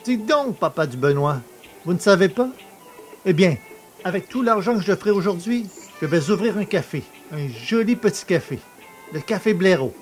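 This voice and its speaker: An actor playing a cheeky, boastful working-class poacher in an audio drama, talking in a familiar, colloquial way. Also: male voice, sits high, animated, moderate pace, soft background music. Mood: boastful, defiant.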